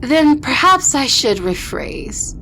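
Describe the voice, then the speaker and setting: Woman acting a haughty, slow-spoken vampire princess. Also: high voice, sing-song, pushed and bright, a little hiss or hum, exaggerated voice. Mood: cold, threatening. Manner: haughty, threatening, slow deliberate delivery. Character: arrogant vampire princess, ruthless eldest sister, war-hungry. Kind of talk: audio roleplay acting.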